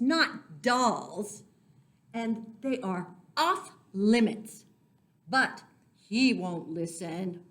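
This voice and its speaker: Lady doing storytime for little kids, really slow, big swoopy expressive voice, talks right to them. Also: mid-range voice. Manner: expressive, deliberate, stresses key words; talks directly to young listeners, asking simple questions. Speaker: children's storyteller for a church Sunday school. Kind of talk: animated picture-book read-aloud with questions to young children.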